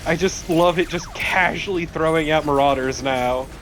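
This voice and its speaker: A man's voice: a little high, moderate pace, a bit bright. A man chatting casually as a Let's Play co-host, wry, joking and prone to swearing. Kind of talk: casual chat over gameplay. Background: game music.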